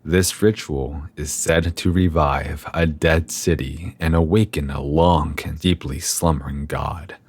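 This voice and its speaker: An actor playing a grave, ominous university scholar in a horror audio drama, speaking formally and deliberately with a theatrical air. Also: male voice, a bass voice, steady tone, husky, exaggerated voice. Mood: grave, guarded. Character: grave, ominous male university scholar guarding occult secrets.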